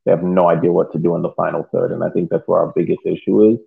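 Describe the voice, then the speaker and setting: A man co-hosting a casual sports podcast, thinking aloud haltingly as he analyses play, heard over a tinny phone-like connection. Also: low-pitched, little pitch movement, vocal fry. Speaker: podcast co-host.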